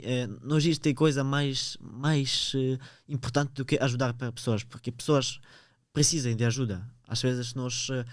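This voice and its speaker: Ukrainian-accented teen, smooth and hesitant. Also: male voice, medium pitch, dry recording, clean studio sound. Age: teens. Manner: hesitant, searching for words, frequent fillers. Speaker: guest. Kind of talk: podcast interview.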